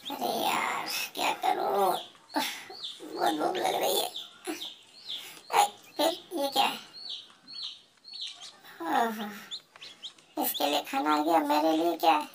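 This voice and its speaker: A woman's voice: high-pitched, slow pace, vocal fry, pauses often, far from the mic, background noise, heavily acted. A woman voicing a hungry, scheming female house cat, muttering plans to herself with lots of hums. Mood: grumbling greedy. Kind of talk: comic pet voice-over.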